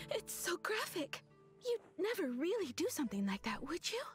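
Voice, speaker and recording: A woman's voice: very high voice, fairly bright tone, exaggerated voice. A woman voicing a timid, deferential young woman in an anime game, stammering, flustered and eager to agree. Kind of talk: anime game character voice acting.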